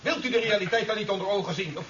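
An actor playing an indignant, defiant general in a 1970s radio drama, agitated and accusing. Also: male voice, high-pitched, racing, a bit bright, a little hiss or hum. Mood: outraged. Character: indignant, defiant general on trial, raising his voice. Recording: echoey, distant, dull old radio recording.

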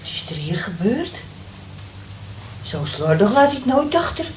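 A woman's voice: mid-range voice, big pitch swings, a little slow. An actress playing a blunt, motherly female workmate in a radio drama, practical and consoling, frank and coaxing in manner. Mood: curious. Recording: muffled, noisy old radio play recording.

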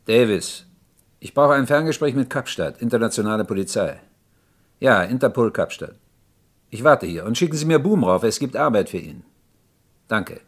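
An actor playing a courteous, methodical police inspector in a German radio crime drama, speaking in a measured, probing, formal way. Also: male voice, medium pitch, lively intonation, close to an everyday voice. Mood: brisk, commanding.

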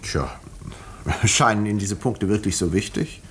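An actor playing a composed, educated doctor in a 1950s German radio mystery, speaking in a measured, dry and precise way. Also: male voice, fairly low pitch, fairly bright tone, breathy edge. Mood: thoughtful, reserved. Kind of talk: 1950s German radio drama narration and dialogue.

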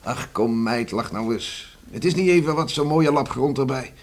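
A man's voice: medium pitch, animated, normal speed, lightly acted. A man playing a cheerful, coaxing island farmer and father in a radio drama, folksy and reassuring. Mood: coaxing, upbeat. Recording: noisy old radio play recording.

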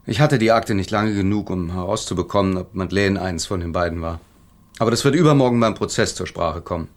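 Actor as sceptical man, fairly low voice. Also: fast, close to an everyday voice. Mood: matter-of-fact. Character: sceptical, impatient male legal colleague. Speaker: actor in a radio crime drama. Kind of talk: German radio drama acting, conversational.

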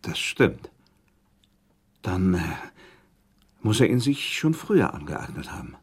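A proud aristocratic gentleman in a period radio drama, cornered and evasive. The voice is low and breathily rough, leaping and dropping theatrically. His phrasing is formal and defensive. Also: big pitch swings, slow pace, full character voice. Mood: unsettled, protesting. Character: haughty, evasive aristocratic gentleman under accusation. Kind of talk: German radio play acting, formal period dialogue.

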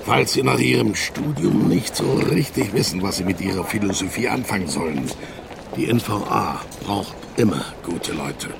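A man playing an East German army officer in a radio drama, recruiting with halting, insinuating, drawn-out phrasing. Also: lowish voice, lively intonation, gravelly, background noise. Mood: insinuating, patronising.

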